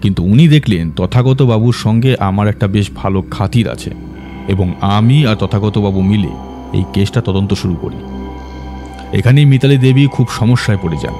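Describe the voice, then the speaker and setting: A man playing a composed, confident detective who explains a case in a measured way in an audio drama. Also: lowish voice, over music, close to an everyday voice. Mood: composed, explanatory. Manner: measured, expository. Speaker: detective character.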